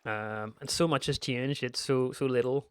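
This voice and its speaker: A man's voice: medium pitch, fairly even intonation, moderate pace, smooth, no room sound. A man in his thirties with a Northern Irish accent carrying a slight American twang, giving casual, meandering gameplay commentary as a gaming YouTuber. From Northern Ireland. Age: 30s. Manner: meandering and reminiscent, frequent restarts.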